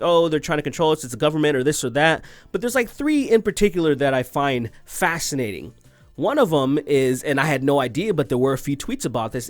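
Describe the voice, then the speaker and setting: A young man rants crudely and sarcastically, often breaking into laughter at his own jokes. His voice sits at a mid pitch on a dry, close mic, with faint music underneath. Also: no echo. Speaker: commentary video host. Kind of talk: ranting commentary on tweets and news.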